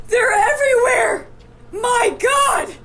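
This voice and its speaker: A woman's voice: very high-pitched, very noisy, exaggerated voice. A woman acting as a frantic female survivor in a horror audio drama, melodramatic and panicked. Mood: terrified.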